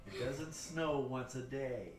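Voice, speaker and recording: A man in the room with the streamer, correcting her in a dry, deadpan way. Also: medium pitch, slow pace. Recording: distant, roomy off-mic pickup with loud music.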